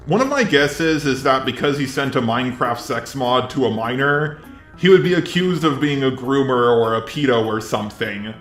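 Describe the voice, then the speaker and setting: A synthetic male robot voice hosts a scripted commentary video. It sits at a middle pitch with a noticeable roomy echo, and music plays faintly underneath. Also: fairly bright tone.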